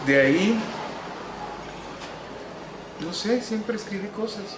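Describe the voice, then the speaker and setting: A man's voice: high-pitched, lively intonation, very noisy. Salvadoran man recalling in an echoey room. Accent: Salvadoran Spanish. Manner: unhurried, wry, frequent "eh" pauses. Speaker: novelist interviewee. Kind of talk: relaxed reminiscing interview answers.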